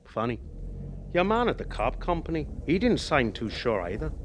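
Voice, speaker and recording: An actor playing a chatty, wily older ex-cabbie in a radio drama, with a Northern Irish accent and a wry, insistent manner. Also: male voice, mid-range voice, big pitch swings, normal speed, slight background noise, close to an everyday voice. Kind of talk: naturalistic radio drama acting.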